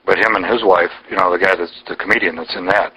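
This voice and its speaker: A man's voice: low-pitched, steady tone, fast, bright, forceful tone, slightly rough. A man talking insistently and informally in a phone conversation, pressing his points as a caller. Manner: insistent, argumentative. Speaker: caller questioning an official. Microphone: telephone. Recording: recorded telephone call.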